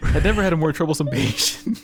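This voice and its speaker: A man's voice: fairly high pitch, very animated, fast, gravelly. A man in casual gaming chat, reading the story aloud and breaking off to joke and swear. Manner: jokey, informal, swearing. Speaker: gaming video co-host. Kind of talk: casual chat and reading aloud while playing a visual novel.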